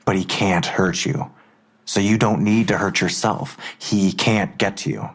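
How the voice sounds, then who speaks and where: An actor playing a worried, protective father in an audio drama, husky and earnest, reassuring but insistent. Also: on the low side, fairly even intonation, measured pace.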